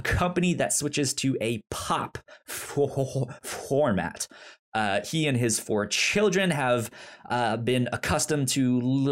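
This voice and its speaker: Podcast co-host, male, slow and halting, mid-pitched, stumbles and restarts often. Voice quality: halting, many stammered restarts and fillers. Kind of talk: casual chat.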